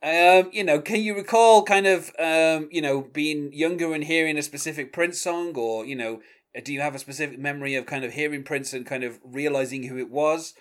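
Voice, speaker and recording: Chatty podcast host from the UK. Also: male voice, on the high side, brisk. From United Kingdom. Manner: conversational, full of fillers like "um" and "you know". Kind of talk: music podcast discussion.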